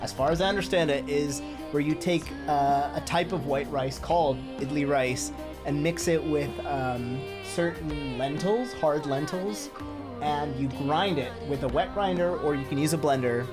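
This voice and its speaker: Low-voiced chatty woman streamer. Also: normal speed. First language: Telugu. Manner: chatty, explaining, with fillers and laughs. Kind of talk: casual game stream commentary. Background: game music.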